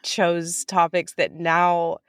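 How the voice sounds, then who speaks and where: A woman's voice: on the low side, monotone, slow, smooth, no room sound, clean studio sound. A woman chatting casually as an interview guest, informal and a little self-deprecating. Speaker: podcast guest, writer and director. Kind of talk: casual chat.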